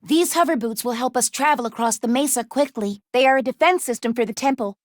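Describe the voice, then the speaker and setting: Game role: woman playing young female robot, high voice, very dry recording, earnest, self-doubting, formal and precise. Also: a little fast, dry recording, lightly acted. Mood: earnest, helpful. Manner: formal, precise, careful diction without contractions. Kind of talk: video game character voice lines.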